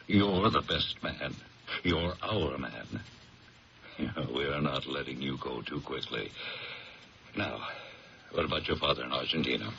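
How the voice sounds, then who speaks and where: An American man acting in a 1970s radio drama as a gruff, blunt, folksy middle-aged campaign boss with a gravelly voice. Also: a bass voice, lively intonation, a little slow, pauses often, sharp tone, heavily acted. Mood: firm, reassuring. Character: gruff, pragmatic, loyal male political campaign boss. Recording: dull, noisy 1970s radio recording.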